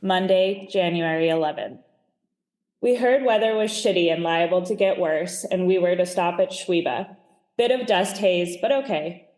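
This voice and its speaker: A woman's voice: fairly low pitch, moderate pace, darkish tone. A woman reading diary entries aloud from a script. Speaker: diary reader.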